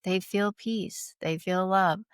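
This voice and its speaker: Female podcast guest, mid-pitched, earnest informal storyteller, dry studio-close sound. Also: steady tone, slow pace, clear, resonant tone, dry recording. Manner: earnest, informal, frequent "like" and "right?". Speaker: podcast guest, spiritual healer. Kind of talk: conversational storytelling, reading out testimonials.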